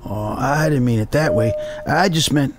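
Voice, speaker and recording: Radio drama lift operator, male actor: mid pitch, creaky, chatty but hesitant and awkward, over clear music. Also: normal speed, close to an everyday voice. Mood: awkward, surprised. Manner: awkward, hesitant small talk.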